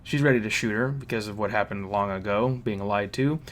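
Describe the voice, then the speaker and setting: A man casually recapping a story as a reviewer, with an animated manner and mocking asides. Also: fairly low pitch, fairly quick. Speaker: reviewer hosting a fan recap. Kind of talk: casual spoken plot recap and commentary.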